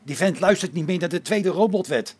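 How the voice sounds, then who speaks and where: An actor playing a sarcastic, exasperated space station engineer in a radio play, with a biting manner. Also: male voice, sits high, a little fast, a little mellow, slightly rough. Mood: indignant disbelief.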